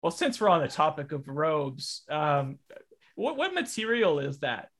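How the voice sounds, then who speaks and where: Higher-voiced man hosting, hesitant and rambling. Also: very animated, unhurried, vocal fry, fairly bright tone. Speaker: podcast host and interviewer. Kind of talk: casual improvised comedy chat.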